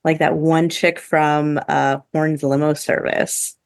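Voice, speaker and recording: A woman co-hosting a podcast in a casual review chat, with halting, filler-heavy phrasing. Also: low-pitched, level tone, creaky, dry recording. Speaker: podcast co-host.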